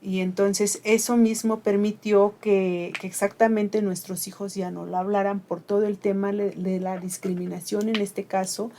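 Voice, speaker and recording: A middle-aged woman whose first language is Ayuujk speaks Spanish with a Mixe accent, mellow and warm in tone. She talks earnestly and reflectively, pausing on fillers as she thinks aloud in a radio conversation. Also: mid-range voice, little pitch movement, slight background noise. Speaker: radio host.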